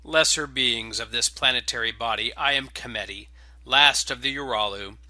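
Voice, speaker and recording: A man's voice: mid-range voice, moderate pace, sharp tone, no room sound. A man voicing a huge, haughty male space whale with a grand, declamatory manner. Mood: imperious. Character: giant ancient male space whale, haughty, last of kind.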